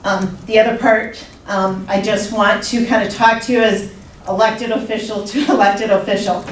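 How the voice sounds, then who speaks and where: An older woman from the Midwest, speaking at a public comment session, earnest and urging in a measured way. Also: mid-range voice, steady tone. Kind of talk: public comment at a council meeting. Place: council meeting room. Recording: echoey room, distant mic, faint noise.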